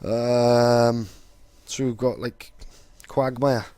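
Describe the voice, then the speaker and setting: A young Welsh-accented man explains casually, rambling and swearing, with plenty of fillers. His voice sits at a middling pitch. The recording is dry and close, with no room echo. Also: flat delivery, drawn-out words, mellow, clean tone. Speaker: vlogger.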